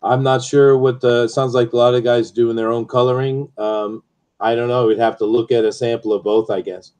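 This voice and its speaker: A man's voice: mid-range voice, little pitch movement, fairly quick, darkish tone. A man who is a guest writer, chatting casually in an easygoing way and mostly giving brief replies. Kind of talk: casual chat on a live stream.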